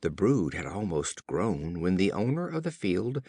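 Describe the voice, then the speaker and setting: A low-voiced man reads scripted children's fables as an audiobook narrator. His telling is slow and formal, with pitch that rises and falls freely. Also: big pitch swings, darkish tone, breathy edge, dry recording. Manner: measured, formal storytelling.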